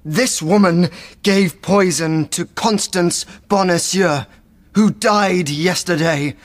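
Lady doing a young musketeer, earnest, slow and careful. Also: on the low side. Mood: accusing. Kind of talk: audiobook character voice.

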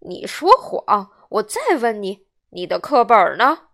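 A woman voicing a stern, blustering male puppet-theatre boss with a soft heart in a children's storybook. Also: mid-range voice, lively intonation, measured pace. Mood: stern questioning. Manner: loud, commanding, scolding.

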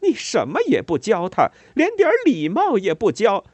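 A man voicing a distraught mother who shrieks in a sharp, trembling voice. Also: wildly swooping pitch, moderate pace, breathy edge, dry recording, exaggerated voice. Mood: hysterical fury. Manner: shouting, shrill, frantic.

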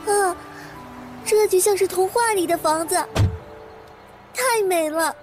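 A woman's voice: very high voice, slow, reverberant, over music. A woman playing a timid, haunted young bride, hesitant and introspective, narrating an audio drama. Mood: delighted. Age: young adult. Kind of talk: Mandarin audio drama acting with first-person narration.

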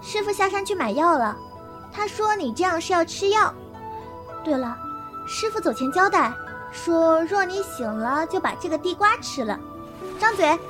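Very high-voiced, blunt young girl, played by a woman. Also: animated, normal speed, over music. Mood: matter-of-fact. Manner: curt, brusque, bossy but caring. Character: blunt, stubborn young wild girl, fiercely protective. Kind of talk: Chinese period wuxia audio drama acting.

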